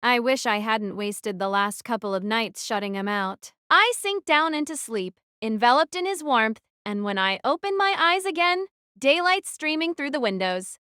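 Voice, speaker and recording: A woman's voice: fairly high pitch, lively intonation, moderate pace, hardly any pauses, bright, forceful tone, clear, resonant tone, no echo, clean studio sound. A woman playing a defiant, brooding teenage girl who narrates her own story in an audio drama. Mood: tender, reflective. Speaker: narrator and lead actress.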